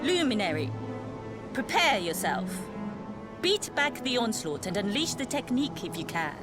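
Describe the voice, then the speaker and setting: An actress playing a stern, imperious martial arts master in a video game, haughty and commanding, grudging with praise. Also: female voice, on the high side, sing-song, moderate pace. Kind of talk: dramatic video game voice acting. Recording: loud music behind the voice. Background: loud game music.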